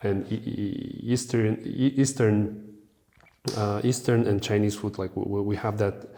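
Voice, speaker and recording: Mellow, low-voiced male podcast host. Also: unhurried. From Kazakhstan. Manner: lively, anecdotal storytelling with frequent "you know". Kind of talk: casual conversation.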